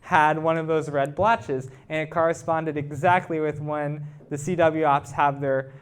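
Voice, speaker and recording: A man with a slightly high voice presents technical research to a live audience. He explains it in an informal, conversational way and engages his listeners with questions. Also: fairly even intonation, measured pace, clean tone. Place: live talk to a club audience.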